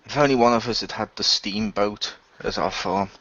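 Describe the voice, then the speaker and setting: A man's voice: fairly low pitch, normal speed, background noise, lightly acted. Sardonic ex-athlete hero, played by a man, lo-fi. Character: Jacob, brash ex-athlete hero, sardonic and confessional. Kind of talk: improvised audio role-play dialogue.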